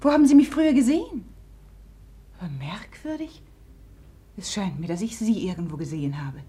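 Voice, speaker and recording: A woman's voice: mid-range voice, sing-song, moderate pace, mellow, close to an everyday voice. An actress playing a proud, capricious society woman, teasing and imperious, in a 1950s German radio drama. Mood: intrigued.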